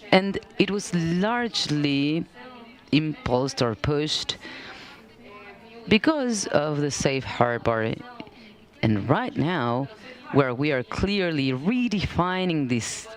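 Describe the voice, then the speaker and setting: A woman who works as a company policy manager, speaking on a conference panel in a conversational way, full of fillers like "like" and "you know". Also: low-pitched. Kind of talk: panel discussion talk.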